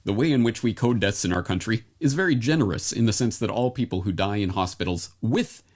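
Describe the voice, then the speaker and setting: A man's voice: lowish voice, a little fast, darkish tone. A man reading scripted documentary narration, laying out information in a formal register.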